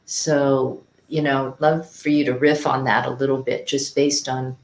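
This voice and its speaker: Measured panel moderator in an echoey room. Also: medium pitch, little pitch movement, a little hiss or hum. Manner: measured, inviting questions. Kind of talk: panel discussion.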